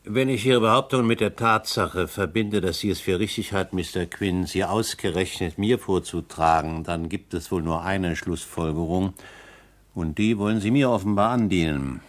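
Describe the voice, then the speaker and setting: An actor playing an indignant company director who brushes off accusations in a radio play. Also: male voice, low voice, normal speed, lightly acted. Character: stiff male company director Dr. Lanning, dismissive. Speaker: head of a robot company. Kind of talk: radio drama acting.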